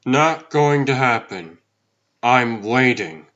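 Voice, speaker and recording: A man's voice: mid-range voice, lively intonation, slow, a bit bright, highs cut off. A man playing a young superhero in a comic dub, stern and defiant, with blunt, terse, unimpressed delivery. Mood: defiant, impatient. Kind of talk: dramatic acting in a comic dub.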